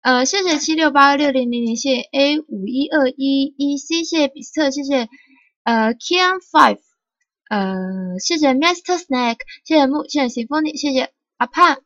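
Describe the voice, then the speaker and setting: A high-voiced woman from Taiwan streams a game, chatting playfully. Her voice sounds dry and slightly dull, with faint music behind it. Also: lively intonation, a bit bright, dry recording. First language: Mandarin. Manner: playful, halting when speaking English. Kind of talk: live game stream chat.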